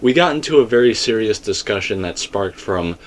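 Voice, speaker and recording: Emphatic, rambling man with a lowish voice. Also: animated, a little fast. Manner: emphatic, rambling, speaks in asides and self-quotes. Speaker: video commentator and theorist. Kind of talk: casual fan theory discussion.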